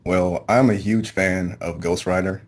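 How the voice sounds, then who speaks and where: A man's voice: deep voice, fairly even intonation, measured pace. A man being interviewed as a voice actor, giving brief, easygoing answers in a casual chat.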